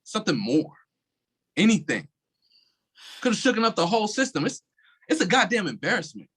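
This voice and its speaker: An actor playing a withdrawn, bitter police officer in a staged play reading, giving short, clipped replies. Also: male voice, sits high, very animated, brisk, fairly bright tone, husky, full character voice. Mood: angry. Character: weary, guarded police officer, curt and bitter.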